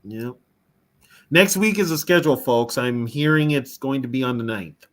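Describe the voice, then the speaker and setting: A man's voice: medium pitch, moderate pace, fairly bright tone. A man co-hosting a casual live stream, chatty, laughing easily and talking to the viewers in the chat. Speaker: co-host of a fan podcast.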